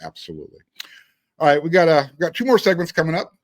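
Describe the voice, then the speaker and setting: A male sports podcast host with a lively, wide-ranging intonation. He speaks conversationally and leans on key phrases. The sound is dry, with very little room in it. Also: on the high side, fast, no room sound. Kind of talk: casual sports talk with read-out sponsor spots.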